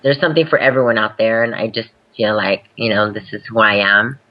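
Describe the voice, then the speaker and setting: A woman's voice: a bass voice, a little fast. A young adult woman speaking candidly as an interview guest, laughing easily as she talks. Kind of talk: casual interview chat. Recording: dull lo-fi podcast audio.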